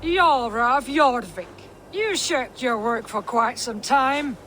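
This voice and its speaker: A woman playing a stern, sceptical Norse sister in a video game, blunt and deliberate in her speech. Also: on the high side, animated, noisy background. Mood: scornful. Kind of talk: video game cutscene acting.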